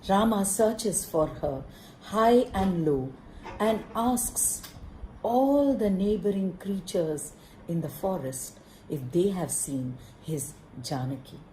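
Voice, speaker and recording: A woman narrating a puppet-show story in measured, short dramatic phrases. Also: fairly low pitch, warm tone, slight background noise. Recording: roomy echo.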